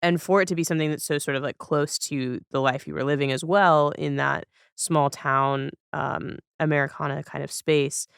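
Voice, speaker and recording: Clear-voiced woman hosting, rambling and thoughtful. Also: sits low, steady tone, moderate pace, few pauses, no echo, studio quality. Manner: rambling, self-correcting as questions form. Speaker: podcast host. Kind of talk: casual, reflective interviewing.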